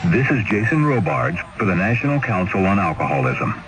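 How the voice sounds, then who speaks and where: A man's voice: deep voice, sing-song, brisk, low-quality recording. A man reading a scripted public service announcement on the radio.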